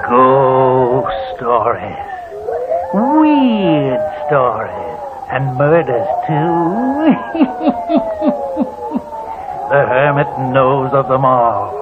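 Through heavy hiss and a tinny narrow band comes an ancient hermit, spinning tales of terror. He gloats and cackles eerily, in old radio horror style, with wildly swinging pitch. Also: exaggerated voice. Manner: slow, eerie, gloating delivery with cackles. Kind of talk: 1940s radio horror acting.

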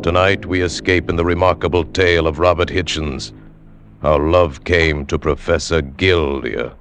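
A man announcing a 1940s radio drama in an ominous, deliberate, theatrical style. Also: low-pitched, steady tone, very noisy. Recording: vintage 1940s radio recording.

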